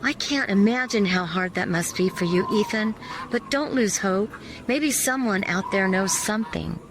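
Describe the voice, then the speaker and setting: A woman playing a gentle, supportive friend in an audio drama, speaking in a soft, reassuring way. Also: medium pitch, moderate pace, background noise, natural voice. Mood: reassuring, concerned. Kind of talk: scripted audio drama acting.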